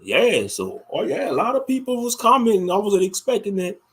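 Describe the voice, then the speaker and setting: A man from Louisiana with the accent to match hosts a casual livestream. His voice sits a little high for a man. He talks colloquially, commenting loosely and repeating phrases to drive them home. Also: huge pitch swings, fairly quick, fairly bright tone, slightly rough. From Louisiana, United States. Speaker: livestream host.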